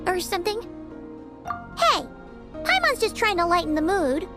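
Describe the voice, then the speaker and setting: Squeaky, very high little fairy companion. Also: female voice, big pitch swings, moderate pace. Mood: chatty, curious. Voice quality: squeaky, childlike. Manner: perky, speaks of self in third person. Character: small chirpy childlike fairy companion, eager and blunt. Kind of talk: video game voice acting. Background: background music.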